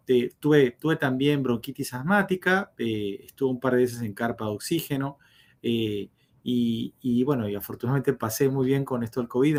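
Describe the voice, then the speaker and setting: Hesitant middle-aged man. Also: mid-range voice. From Uruguay. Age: 50s. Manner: hesitant, many fillers and restarts. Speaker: podcast guest, diplomat. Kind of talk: conversational life story.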